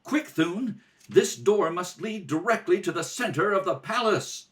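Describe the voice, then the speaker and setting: A man's voice: high-pitched, lively intonation, a little slow, a bit bright, recorded from a distance. A man playing a bold young hero in an old-time radio adventure serial, earnest and urgent. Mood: tense, urgent. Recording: roomy home recording, off the mic.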